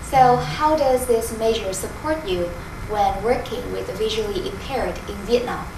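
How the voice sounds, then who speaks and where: A woman's voice: mid-range voice, normal speed, gravelly. Woman interviewer, distant and echoey. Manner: formal, scripted questions. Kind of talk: prepared interview questions. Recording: echoey noisy room, mic distant.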